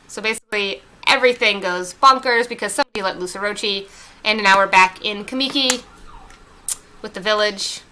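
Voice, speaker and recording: Woman streaming a game, rambly casual chat, lots of um and like, bright voice, middle pitch. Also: brisk, a little hiss or hum, low-quality recording. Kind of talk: casual let's-play chat.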